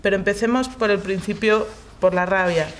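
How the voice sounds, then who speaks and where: A middle-aged woman reads an essay aloud with a measured, careful delivery, pausing and restarting now and then. Her voice sits at an ordinary pitch. A faint hum of a large room sits behind her. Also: steady tone. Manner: slow, careful reading with hesitations. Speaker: reader in a shared reading group. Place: large hall, seated on the floor.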